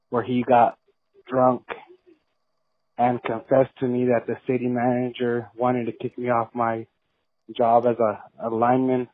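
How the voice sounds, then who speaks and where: A man speaks in an extremely flat monotone over a tinny phone line. He is an anonymous caller haltingly confessing on voicemail. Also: mid-range voice, no room sound.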